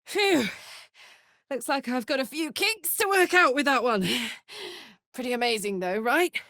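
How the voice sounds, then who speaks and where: A woman's voice: high voice, expressive, measured pace, pushed and bright, no room sound, studio quality, heavily acted. An actress playing a brash, big-hearted tiefling warrior woman in a video game, with a blunt, informal manner that turns from playful to tender. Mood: excited, bold. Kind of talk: video game character voice lines.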